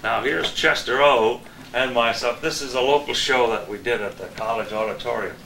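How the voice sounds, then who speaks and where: A man's voice: medium pitch, normal speed, bright, forceful tone. An older man chatting casually and reminiscing as a guest on a panel of old broadcasting friends. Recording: echoey room, distant mic, clear noise.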